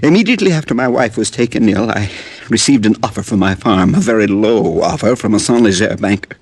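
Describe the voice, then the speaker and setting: An actor playing a sickly, desperate farmer from Haiti in an old radio drama, halting, earnest and polite. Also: male voice, on the low side, expressive, a little mellow, breathy edge, dry recording. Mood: grave, earnest. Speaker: actor in a supporting role. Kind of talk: 1940s-50s radio drama acting. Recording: old radio recording, dry studio.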